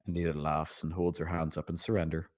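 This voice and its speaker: A man's voice: extremely low pitch, little pitch movement, quick pace. A man reading out stage directions as narrator at a script table read.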